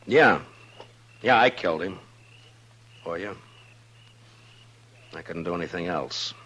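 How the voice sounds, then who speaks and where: Casting: deep, deliberate, weary frontier lawman, grave and sparing with words, old radio drama. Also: expressive, a bit bright, full character voice. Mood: guarded. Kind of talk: 1950s radio Western acting. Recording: noisy old radio recording.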